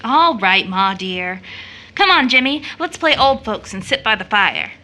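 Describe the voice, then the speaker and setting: A woman's voice: fairly high pitch, lively intonation, a little slow, sharp tone. An actress playing a playful, tender young woman who tells stories expressively in an audio drama. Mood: playful, teasing. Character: Rachel, warm playful young woman, motherly storyteller.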